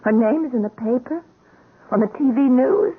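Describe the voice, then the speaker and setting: Thin, phone-like radio sound carries a policeman's frightened young wife. Her high voice is very husky and breathy, faltering with emotion. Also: normal speed. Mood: uneasy. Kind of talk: radio drama acting.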